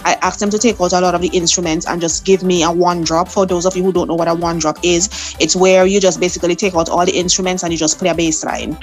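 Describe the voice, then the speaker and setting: Young Vincentian woman, soca artist guest, lively anecdotal delivery with easy laughs. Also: fairly low pitch, fast, hardly any pauses, faint music behind. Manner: lively storyteller, laughs often. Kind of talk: interview storytelling.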